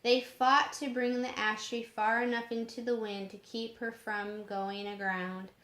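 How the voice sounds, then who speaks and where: A woman reading a story aloud in a measured way, sometimes stumbling over harder words, in an echoing room. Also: a little high, little pitch movement, a bit bright. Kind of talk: storybook narration. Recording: roomy echoing home recording.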